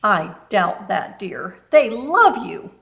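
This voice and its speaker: A woman's voice: mid-range voice, very animated, slow, gravelly, fairly bright tone, exaggerated voice. A woman voicing a kind, encouraging older neighbor lady from a children's storybook, folksy and affectionate. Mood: gently reassuring. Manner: encouraging, folksy, calls listener honey. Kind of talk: children's storybook read-aloud.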